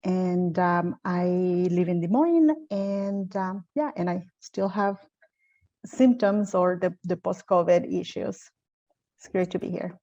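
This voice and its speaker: A woman from El Salvador speaking on a panel, telling her story unhurriedly with many pauses and fillers. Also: on the low side, warm tone, muffled recording. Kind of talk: panel discussion, personal account.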